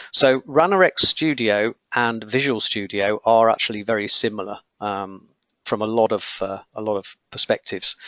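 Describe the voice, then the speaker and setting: A man with a croaky voice, teaching a live webinar on software testing, explaining as he clicks through screens with frequent okays and ums. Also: on the low side, no room sound, dull audio.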